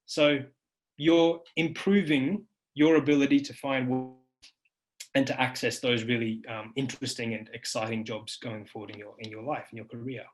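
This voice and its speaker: A man in his thirties, a university lecturer presenting a webinar over slides, earnest and halting with frequent ums and restarts, on a webcam. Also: mid-range voice, fairly even intonation, poor audio. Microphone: webcam video call.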